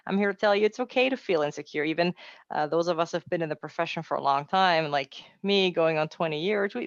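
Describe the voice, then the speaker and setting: An upbeat woman in her forties with an Austrian accent gives an encouraging, chatty presentation. Her voice has a gravelly, creaky edge. The sound is dry and dull, like a lo-fi computer mic on a video call. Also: low-pitched, no room sound. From Austria, raised in Mexico City. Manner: upbeat, chatty, self-deprecating asides. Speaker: translator giving a webinar talk.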